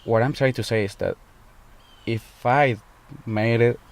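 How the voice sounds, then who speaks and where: A nineteen-year-old man from El Salvador, telling his own story for a documentary video in a halting, earnest way with frequent pauses. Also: mid-range voice, creaky. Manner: halting, earnest, pauses often mid-sentence. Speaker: student. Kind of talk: personal testimony in a documentary video.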